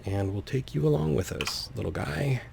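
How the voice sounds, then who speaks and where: A man's voice: mid-range voice, expressive, normal speed, creaky, noisy background. A man giving casual gameplay commentary, thinking aloud in a whimsical, musing way. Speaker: let's player, host.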